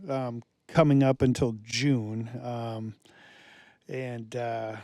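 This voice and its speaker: A man guesting on a radio show speaks in a relaxed, halting way, pausing often with fillers. His tone is mellow and dark. The studio sound is dry and close. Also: mid-range voice, no echo. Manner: halting, many fillers and restarts. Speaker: musician guest. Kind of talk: casual radio interview.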